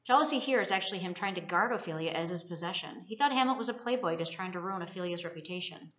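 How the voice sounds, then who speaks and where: Female college student presenting a scripted literary discussion at a brisk pace, roomy lo-fi sound. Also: fairly low pitch, expressive, a bit bright. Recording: roomy, muffled lo-fi recording.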